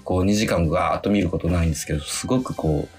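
A man's voice: low-pitched, rapid-fire, a little mellow. A man guest on a radio show, a film director, answering politely with many hesitant fillers. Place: radio studio.

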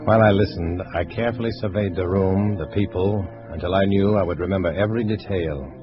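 An actor playing a tough, guarded undercover agent, terse and hardboiled, in an old-time radio detective drama. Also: male voice, low voice, fairly quick, close to an everyday voice. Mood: hardboiled narrating. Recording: muffled old radio recording with music.

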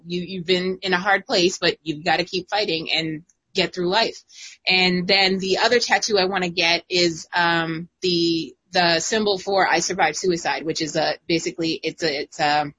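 A young woman co-hosting a casual chat, talkative and quick to laugh, with lots of "like" and fillers. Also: lowish voice, bright, forceful tone, breathy edge. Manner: chatty, laughs often, many fillers. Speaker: guest co-host.

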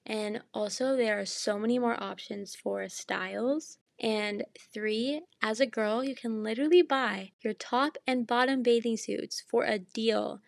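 A young woman hosting her own casual podcast, chatty and enthusiastic, jet-lagged and slightly hoarse as she loses her voice. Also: on the high side, measured pace, few pauses, no room sound. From Canada. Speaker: podcast host. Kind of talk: casual solo podcast monologue.

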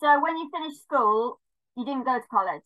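A woman's voice: high voice, expressive, brisk, bright, forceful tone. A woman hosting a podcast interview with casual, conversational questions and short acknowledgements, heard over tinny call audio. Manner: laughs readily, short acknowledgements. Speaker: podcast host.

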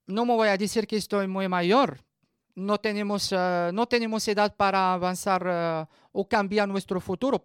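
A woman in her thirties with a Moroccan accent gives a personal testimony, haltingly searching for words in a language that is not her first. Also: mid-range voice, smooth, no echo, studio quality. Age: 30s. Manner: halting, searching for words in non-native Spanish. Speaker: radio guest giving a personal testimony.

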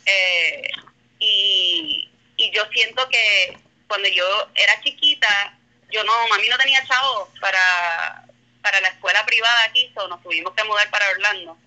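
Puerto Rican woman, 30s, comedian guest; very bright tone, loose rambling delivery with English slipping in. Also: medium pitch, unhurried, rough recording. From Puerto Rico. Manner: rambling, self-correcting, drops in English words. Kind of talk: casual remote conversation.

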